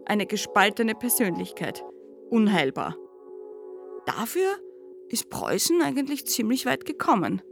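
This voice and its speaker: A woman essayist in a satirical radio play, her voice fairly high and set over clearly audible music. She argues with wry irony and pointed rhetorical phrasing, like a lecturer dissecting a nation. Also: big pitch swings, a little slow, pauses often, full character voice. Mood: ironic analysis. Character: eloquent, ironic woman lecturing on Prussia's national psyche.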